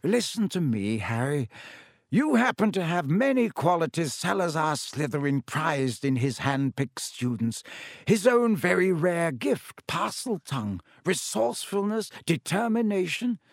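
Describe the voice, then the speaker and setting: Wise old wizard headmaster, soft and slow, raised voice, dry close sound, man doing it. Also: on the high side, sing-song, vocal fry, no room sound, pitched above the performer's own voice, exaggerated voice. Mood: calm, wise. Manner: slow, gentle, measured speech. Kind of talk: audiobook character voice.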